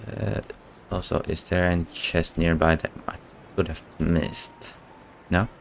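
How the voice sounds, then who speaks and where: A man streaming a video game with casual, low-key commentary, muttering short reactions to himself as he plays. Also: fairly even intonation, unhurried, creaky, slight background noise. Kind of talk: casual live gameplay commentary.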